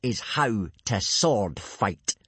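A man's voice: medium pitch, big pitch swings, measured pace, slightly rough, no echo, voice pushed up. A man voicing a boastful, sneering teenage Viking bully in a children's audiobook, drawling and self-satisfied. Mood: jeering. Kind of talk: children's audiobook character acting.

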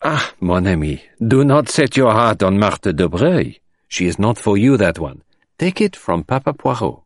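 A man voicing a courteous, shrewd detective in an audiobook, with a gentle, ironical, emphatic manner. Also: mid-range voice, very animated, moderate pace, dry recording, studio quality, voice pushed up. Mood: gentle irony. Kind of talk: audiobook character voice.

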